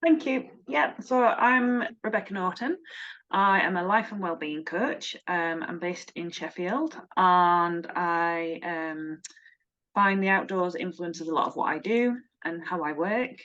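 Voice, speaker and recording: A woman speaks in a slightly low voice, friendly and hesitant, pausing on fillers. The video call audio sounds dull and lo-fi. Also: fairly bright tone. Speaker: life and wellbeing coach. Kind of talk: casual self-introduction.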